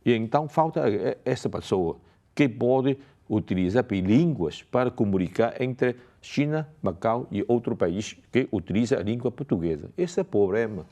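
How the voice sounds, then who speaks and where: Very deep-voiced man speaking haltingly. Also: expressive, mellow. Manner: halting, many fillers and restarts, non-native speech. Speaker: election candidate. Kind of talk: televised political debate. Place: television studio.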